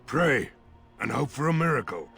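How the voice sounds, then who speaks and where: A man playing a gruff, weary and skeptical village militia leader in a fantasy game, with a gravelly voice. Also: mid-range voice, very animated, moderate pace, fairly bright tone, faint music behind, full character voice. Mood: grim.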